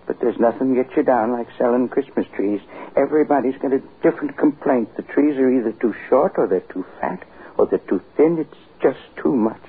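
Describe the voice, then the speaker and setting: A husky, mournful whine from a worn-out tree-lot seller in an old radio comedy. He complains in a deadpan, put-upon way, and the recording is tinny and thin. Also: mid-range voice, a little fast. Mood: weary, put-upon. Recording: tinny vintage radio broadcast.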